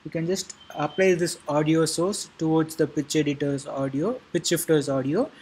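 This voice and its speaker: A man narrating an informal software tutorial in a halting, repetitive way, with a husky voice. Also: fairly high pitch. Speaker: tutorial presenter.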